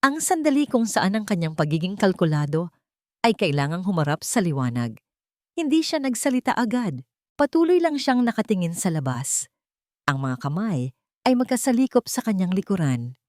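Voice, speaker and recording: A woman narrating a scripted audio drama in a solemn, deliberate and suspenseful manner. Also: mid-range voice, sing-song, darkish tone, dry recording, clean studio sound.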